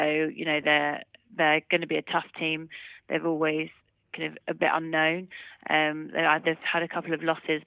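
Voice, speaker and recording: A woman's voice: deep voice, dry recording. A woman chatting casually as a rugby player being interviewed over the phone, giving loose, run-on answers. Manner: hedging, loose run-on answers with "kind of" and "you know". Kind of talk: casual post-match interview chat. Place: phone call. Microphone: telephone. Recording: muffled narrowband phone line.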